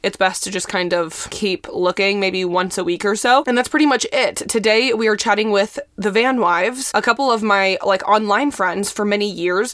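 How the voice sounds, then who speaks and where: A woman's voice: mid-range voice, fairly bright tone, no echo, clean studio sound. A woman hosting a podcast in a casual, chatty way, full of "like" fillers. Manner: chatty, filler-heavy with frequent "like". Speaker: podcast host. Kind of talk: casual interview chat.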